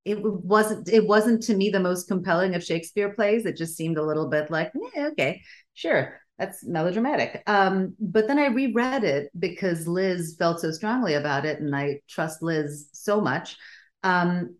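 Low-voiced thoughtful woman playwright. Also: lively intonation, normal speed. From Sweden. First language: Bulgarian. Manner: thoughtful, searching for words. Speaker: playwright guest. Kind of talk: reflective interview talk.

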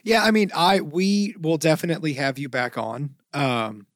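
A man in his forties hosts a podcast and steers the talk with friendly jokes. His voice sits a little high for a man. It sounds dry and close to the mic. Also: normal speed, dry recording. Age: 40s. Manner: friendly, joking, leads the questions. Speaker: podcast host. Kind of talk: casual podcast interview.

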